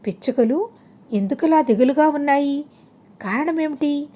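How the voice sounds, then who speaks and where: A woman's voice: high-pitched, moderate pace, dark tone, lightly acted. A woman voicing a friendly but wistful, envious donkey in a children's storybook reading. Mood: concerned.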